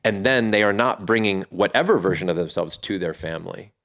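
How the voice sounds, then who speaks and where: A man's voice: low voice, fairly quick, fairly bright tone. A middle-aged man speaking as a podcast guest, earnest and reflective, often restarting his sentences as he thinks aloud. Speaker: podcast guest, fatherhood community founder. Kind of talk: casual interview talk.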